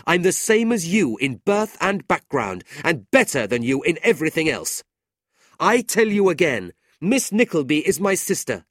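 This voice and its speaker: Man playing an indignant young gentleman, raised pitch. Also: very animated, unhurried, few pauses, husky, no echo, clean studio sound. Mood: furious. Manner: earnest, emphatic, challenging. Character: indignant, honourable young gentleman defending his sister. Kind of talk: audiobook character voice.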